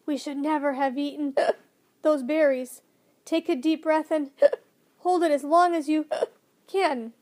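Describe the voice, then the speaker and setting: A woman voicing a kindly, excitable old male professor in a storybook, fervent and given to gentle lecturing. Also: sits high, normal speed. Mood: flustered.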